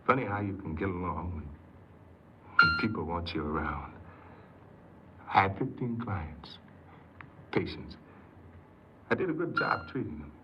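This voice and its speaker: An actor playing an earnest, insecure paroled ex-convict who tells his story haltingly in a 1950s radio drama. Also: deep voice, huge pitch swings, heavily acted. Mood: regretful, hesitant. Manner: hesitant, halting, repeats words. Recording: noisy muffled 1950s radio recording.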